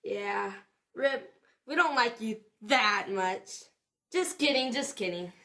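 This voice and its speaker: A woman playing an excitable, emotional young friend in an audio drama, speaking expressively. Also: fairly high pitch, takes it slowly, exaggerated voice. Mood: sleepy, teasing.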